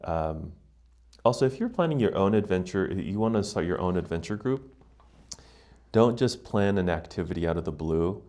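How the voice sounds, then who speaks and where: American male podcast guest, low-pitched, conversational, reflective explainer. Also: animated, normal speed, dark tone. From United States. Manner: reflective, explanatory, often checks in with "right?". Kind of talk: casual conversational interview.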